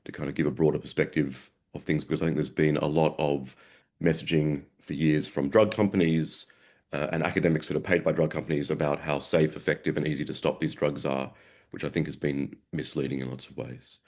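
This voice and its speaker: A man with a sore throat and a gravelly voice, giving a webinar lecture over a video call, halting and repeating words as he goes. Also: extremely low pitch, steady tone, a little mellow. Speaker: guest presenter, psychiatrist.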